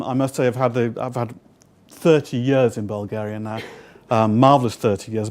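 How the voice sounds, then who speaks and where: A man in his fifties with a British accent, speaking at an event and recounting an anecdote to the room. His humor is dry and wry, and he acts out the exchanges he describes in a relaxed, conversational way at an average pitch. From United Kingdom. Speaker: guest speaker, interpreter. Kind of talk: storytelling talk to a live audience.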